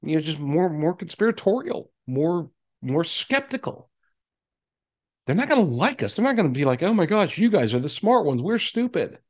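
A man's voice: a little high, very animated, normal speed. A man hosting a podcast, talking to his audience in a conversational, emphatic monologue. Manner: conversational, emphatic, voicing imagined replies. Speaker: podcast host.

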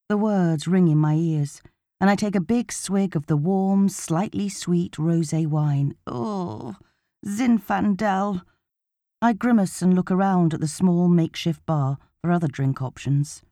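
Mellow, low-voiced woman narrating an audiobook. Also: dry recording, clean studio sound. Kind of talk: first-person audiobook narration.

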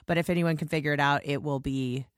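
Hoarse, scratchy-voiced woman podcast host. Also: low voice, steady tone, fast talker, no room sound, clean studio sound. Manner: gushing, rambling with restarts. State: hoarse, lost voice. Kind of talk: casual podcast monologue.

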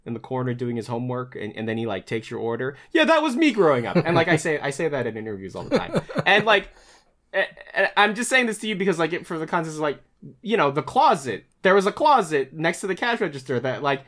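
Rambling man with a lively voice. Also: a little high. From Virginia, US. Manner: rambling, self-deprecating, halting restarts. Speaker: podcast co-host. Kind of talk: casual conversation.

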